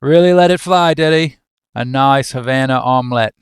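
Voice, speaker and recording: A man's voice: mid-range voice, normal speed, clear, resonant tone, dry recording, studio quality, natural voice. Man doing a cheeky, slangy Australian mate. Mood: teasing. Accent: attempted Australian. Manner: matey, teasing, slangy. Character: cheeky, nosy, square-jawed Australian male office mate.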